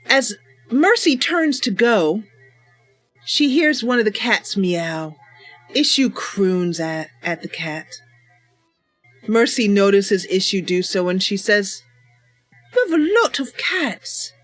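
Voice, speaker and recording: A woman's voice: medium pitch, animated, takes it slowly, a bit bright, no room sound, faint music behind. A woman hosting and narrating a game roleplay, chatting casually while ill and tired. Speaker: channel host and game player.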